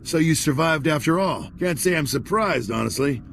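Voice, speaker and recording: A gravelly rogue ex-commander. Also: male voice, a little high, expressive, moderate pace, fairly bright tone, a little hiss or hum. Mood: wry. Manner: curt, blunt military talk. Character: hardened ex-commander turned rogue, gruff veteran soldier. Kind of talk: video game cutscene acting.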